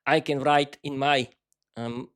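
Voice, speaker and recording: Halting Slovak-accented man rambling. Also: mid-range voice, fairly even intonation, a bit bright, smooth. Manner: halting, frequent restarts and fillers. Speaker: podcast host. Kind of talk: unscripted solo monologue.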